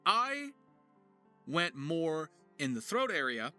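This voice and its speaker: A man presenting a voice acting tutorial to viewers in an animated, enthusiastic explaining manner. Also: on the high side, takes it slowly. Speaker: voice actor, writer and video presenter. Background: music.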